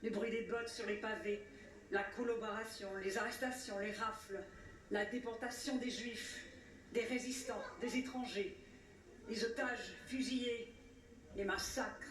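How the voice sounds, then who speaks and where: A woman speaks slowly and solemnly, as an orator at a commemoration would. Her voice sits fairly high, and she declaims in measured, repeated phrases. The sound is echoing, picked up from a distance. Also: steady tone. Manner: measured, declamatory, with rhetorical repetition and pauses. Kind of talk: solemn scripted commemorative speech. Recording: roomy, distant mic with faint noise.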